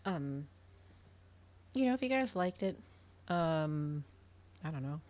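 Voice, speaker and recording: A woman livestreamer chatting casually in a soft ASMR style, often dropping toward a whisper. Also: sits low, animated, takes it slowly. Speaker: livestreamer hosting an ASMR reading stream.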